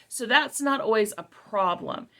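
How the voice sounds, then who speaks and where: A woman's voice: on the high side, expressive, measured pace, gravelly, sharp tone. A middle-aged woman giving a casual, conversational product review, repeating key phrases for emphasis.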